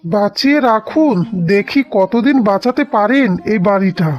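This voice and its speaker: A woman's voice: mid-range voice, normal speed, muffled recording, faint music behind, natural voice. A woman voicing a pushy, mocking male loan collector in a cartoon, with a sneering, threatening manner. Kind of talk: cartoon dialogue.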